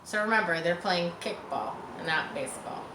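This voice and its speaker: A woman reading a children's book aloud expressively, with casual explanatory asides to young listeners. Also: on the low side. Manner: expressive, acts out dialogue and pauses to explain. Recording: roomy echo, distant mic, faint noise.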